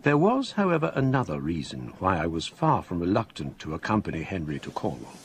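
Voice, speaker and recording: A grave, erudite English gentleman narrates a radio ghost story. His lowish voice is expressive and modulated, with formal literary phrasing and faint noise behind it. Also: a little fast. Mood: grave, reflective. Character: erudite English gentleman recalling an eerie tale, measured and grave. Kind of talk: scripted first-person narration, radio drama.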